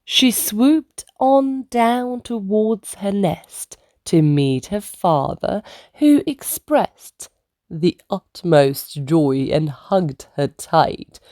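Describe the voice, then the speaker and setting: A woman's voice: medium pitch, very animated, drawn-out words, darkish tone, no echo, clean studio sound. A woman storyteller reading a rhyming children's book aloud as scripted narration.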